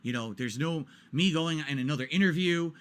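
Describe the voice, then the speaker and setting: A man's voice: fairly high pitch, a little fast, a bit bright. A man in his forties ranting during a stream, exasperated and repetitive, hammering his points home. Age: 40s.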